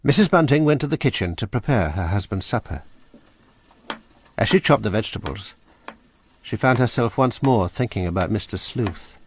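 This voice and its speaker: A man narrating a radio drama in a measured storytelling manner. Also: on the low side, animated, slightly rough. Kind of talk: scripted narration.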